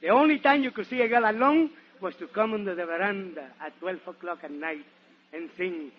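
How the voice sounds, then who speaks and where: A man's voice: high-pitched, a little fast. A man from Nicaragua with a Spanish accent, performing a theatrical comedy monologue on a muffled, hissy 1940s radio recording. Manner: theatrical, animated, exclamatory. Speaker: guest comedian and entertainer on a radio show.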